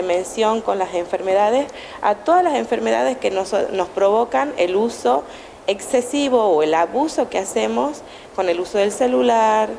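A woman's voice: mid-range voice, darkish tone, slight background noise, low-quality recording. A woman, a teacher answering news interview questions with many fillers and restarts. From Argentina. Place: indoor room at a school event. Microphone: handheld TV station microphone held close.

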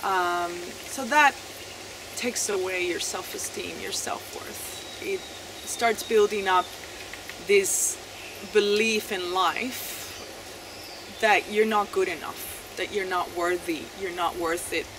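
A woman in her thirties from Chile, speaking softly and haltingly with many fillers and restarts as she tells her personal story, seated outdoors in a garden. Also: medium pitch. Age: 30s. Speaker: interviewee sharing her testimony. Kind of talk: personal testimony, unscripted. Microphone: camera mic at a few meters, seated. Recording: outdoor noise behind the voice.

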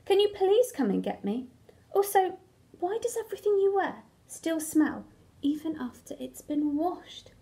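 A woman's voice: very high voice, big pitch swings, measured pace, creaky, warm tone, exaggerated voice. A woman voicing an aggrieved, long-suffering turquoise crayon from a storybook, full of complaint. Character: aggrieved turquoise crayon stuck to a smelly sock.

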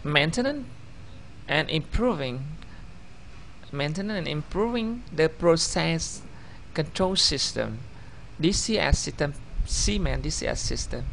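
Vietnamese-accented man, 30s, gravelly voice, slightly high, halting self-corrections, faint background hum. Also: expressive, fairly bright tone. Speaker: job applicant. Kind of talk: video CV voice-over over slides.

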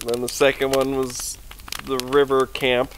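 Young male outdoor vlogger, relaxed rambling delivery, recorded by a camera a few meters off. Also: mid-range voice, unhurried, noisy background. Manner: chatty and easygoing, rambling with fillers. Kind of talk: casual rambling talk to camera. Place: snowy forest campsite at night, outdoors by a fire. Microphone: camera on a tripod a few meters away.

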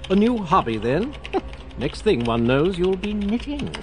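An actor playing a proper, wry butler in a children's audio drama, formal in manner, in a reverberant sound. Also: male voice, sits high, big pitch swings, a little slow, a little hiss or hum.

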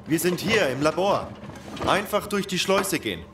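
A man in a German audio drama playing a steady, practical survivor with a brisk manner. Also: fairly high pitch, background noise. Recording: long hall reverb.

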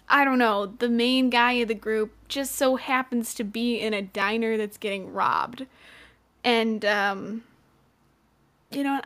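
A woman's voice: on the high side, a bit bright. Sarcastic young woman reviewing anime at home. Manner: sarcastic, swears freely, laughs often. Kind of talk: casual spoken review. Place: bedroom at home. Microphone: desk microphone in front, webcam.

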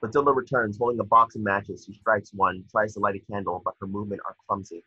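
A man narrating scripted stage directions at a table read, measured with small stumbles, over a video call. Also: fairly low pitch, steady tone, pushed and bright, slightly rough, no echo. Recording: dull lo-fi video call audio.

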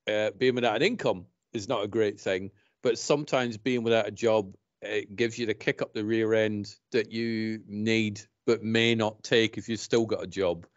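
A man's voice: on the low side, steady tone, moderate pace, hardly any pauses, no echo. A man in his forties hosting a podcast, asking his guest friendly prompting questions over a headset from a home studio. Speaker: podcast host. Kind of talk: casual podcast interview chat. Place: home studio room, indoors. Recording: dry, slightly dull call audio.

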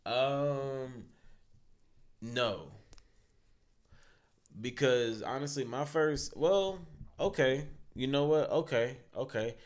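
A man's voice: medium pitch, drawn-out words, pauses often. A man co-hosting a podcast, chatting casually in a loose, profane, rambling way with lots of fillers. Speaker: podcast co-host.

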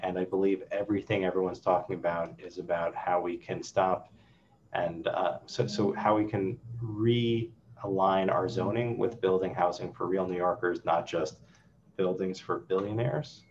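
A man hosts a virtual town hall from his office, reading out questions and answering them briskly. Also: low voice, steady tone. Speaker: council member hosting a town hall. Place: office with city window, indoors. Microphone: video call. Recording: dull lo-fi video call audio with faint hum.

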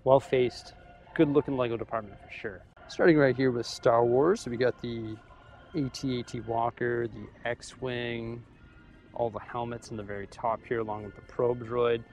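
Young man vlogging in a store aisle. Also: medium pitch. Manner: chatty, thinking aloud about prices. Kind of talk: casual shopping vlog. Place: large store aisle, indoors. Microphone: camera held at arm's length.

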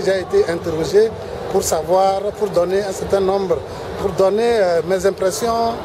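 A middle-aged man from Togo, giving a formal interview statement, earnest and hesitant with many fillers. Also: high voice. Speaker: government official giving an interview. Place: busy exhibition pavilion. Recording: music and crowd chatter behind. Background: African pop music and crowd noise.

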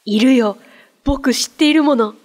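A woman's voice: high voice, normal speed, darkish tone, natural voice. A woman voicing a cheerful, curious young boy with an eager, childlike manner in a radio drama.

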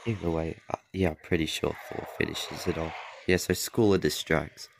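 A young man with a deep, low voice talks over his own mobile game footage in a loose, rambling way. He corrects himself, trails off and chuckles as he goes. His voice sounds a bit off because he is sick, and faint noise sits behind him. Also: steady tone, moderate pace, vocal fry. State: voice off from being sick. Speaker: mobile game channel host. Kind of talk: gameplay commentary over a screen recording.

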